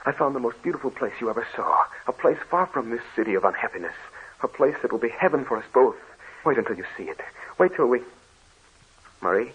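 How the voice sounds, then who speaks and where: A man's voice: medium pitch, big pitch swings, fairly quick, breathy edge. An actor playing an earnest, devoted young man in love, speaking ardently in an old radio drama. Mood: joyful. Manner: ardent, pleading. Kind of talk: 1940s radio acting. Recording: dull lo-fi old radio recording.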